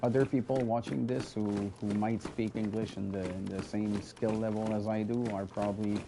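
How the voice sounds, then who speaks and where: Low-voiced French Canadian man chatting over gameplay. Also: little pitch movement, moderate pace, creaky, noisy background. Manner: chatty and rambling, often restarting words, talks straight to viewers. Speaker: gaming video host. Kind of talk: casual gameplay commentary.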